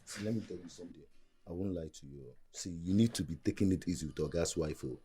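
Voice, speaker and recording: An actor with a Nigerian accent playing an easygoing household colleague who gives advice in a film drama. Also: male voice, sits low, quick pace, dark tone, breathy edge. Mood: cautioning. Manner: easygoing, advising. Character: amused, cautioning male household colleague.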